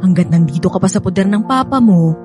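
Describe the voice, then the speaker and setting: A woman with a Filipino accent acting a caring, gently scolding nanny in a radio drama, motherly and pleading. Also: medium pitch, expressive, quick pace, lightly acted. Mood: soothing, earnest. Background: background music.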